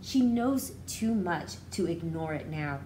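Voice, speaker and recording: Female preschool educator for picture-book read-aloud. Expressive, very varied intonation, mellow tone, explains simply. Noticeable background noise. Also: lowish voice, takes it slowly, low-quality recording. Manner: expressive, simplifies and repeats for young listeners. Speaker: educator reading aloud to young children. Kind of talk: children's storybook read-aloud with explanatory asides.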